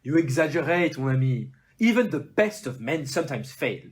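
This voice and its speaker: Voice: medium pitch, big pitch swings, moderate pace, a little hiss or hum, natural voice. Someone doing a fancy french detective guy for an audio play, super sing-song, polite and sure of himself, theatrical. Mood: amused, explaining. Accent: put-on French. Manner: formal, theatrical, sprinkled with French phrases.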